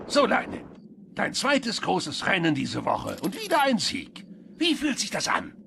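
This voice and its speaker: A man voicing a goofy, eager cartoon tow truck with a gravelly voice and a bumbling, exuberant manner. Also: sits high, wildly swooping pitch, a little slow, noisy background, full character voice. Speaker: voice actor in German dub. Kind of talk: animated cartoon dialogue.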